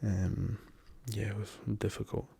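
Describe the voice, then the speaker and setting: A hoarse young man speaks softly in a low, flat, gravelly murmur. His raspy voice carries a lingering cough's strain, and his dark tone stays gentle and hesitant, often dropping to a whisper. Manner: soft-spoken, slow and hesitant with many ums, drifting into whispers. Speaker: ASMR channel host. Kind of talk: soft-spoken ASMR travel chat.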